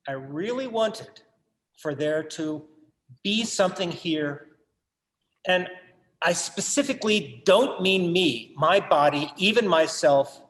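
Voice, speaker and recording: An actor playing an anxious, self-absorbed collector with earnest, literary phrasing in a staged play reading. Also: male voice, a little high, fairly bright tone, reverberant. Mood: wistful, yearning. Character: anxious, self-absorbed, wordy male collector brooding on his legacy. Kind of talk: theatrical staged reading, monologue and verse.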